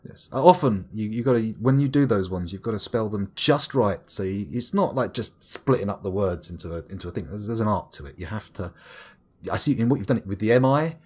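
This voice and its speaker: A man's voice: on the low side, fairly quick, husky. An English man streaming a game live, rambling and joking as he reads his chat aloud. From England. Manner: rambling, chatty, self-mocking, lively swings into exclaimed bits. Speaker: gaming streamer reading chat.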